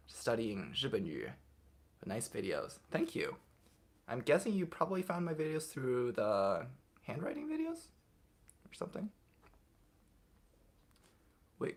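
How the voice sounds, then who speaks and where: A young man hosting a casual livestream, reading viewers' comments aloud and answering them off the cuff in a relaxed way. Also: mid-range voice, gravelly, breathy edge. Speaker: livestream host answering chat.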